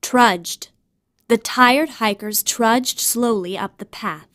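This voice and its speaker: Higher-voiced woman reading vocabulary. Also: takes it slowly, fairly bright tone. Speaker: vocabulary narrator. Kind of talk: scripted educational narration.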